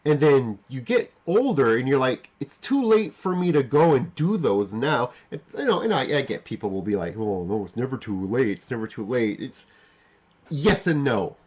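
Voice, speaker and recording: A man in his forties thinks out loud on a livestream. His pitch moves up and down a lot, and his voice has a husky edge. He speaks in halting, emphatic bursts, circling back to rephrase what he just said. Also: very animated, dull audio. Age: 40s. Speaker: livestream host. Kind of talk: unscripted reflective monologue to live chat.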